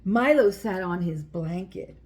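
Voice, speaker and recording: A woman's voice: fairly low pitch, lively intonation, a little slow, darkish tone. A woman hosting a children's story time, reading aloud and speaking directly to young listeners in simple, explanatory language.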